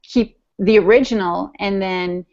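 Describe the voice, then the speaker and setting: A woman's voice: medium pitch, fairly even intonation, measured pace, a little mellow, dull audio. A woman co-hosting a writing podcast, chatting in an easygoing, practical way. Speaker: podcast co-host. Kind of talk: casual writing podcast chat.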